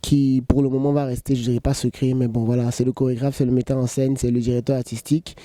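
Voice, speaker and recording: A man in his thirties, a choreographer, answering interview questions in a relaxed, joking way and saying "voilà" often. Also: medium pitch, fast talker, no echo. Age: 30s.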